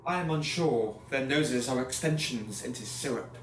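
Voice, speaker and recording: Woman playing a solemn low-voiced man, roomy echo. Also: normal speed, slight background noise. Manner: theatrical, portentous. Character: Rufus, solemn man given to grave, absurd pronouncements. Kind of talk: surreal radio play acting. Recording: roomy echo, music underneath. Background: music score.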